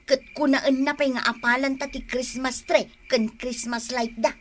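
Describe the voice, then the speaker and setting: A woman's voice: sits high, fairly even intonation, moderate pace, a little hiss or hum, close to an everyday voice. A woman acting an envious, competitive, gossipy neighbour in a comic radio drama, with an Ilocano Filipino accent. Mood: scornful, competitive.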